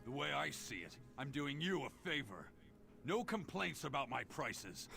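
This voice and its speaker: Casting: a curt, shady fantasy game trader, gravelly and animated, over prominent music. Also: male voice, medium pitch, unhurried. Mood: smug, curt. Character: self-assured, shady male merchant in fantasy game. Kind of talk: video game character dialogue.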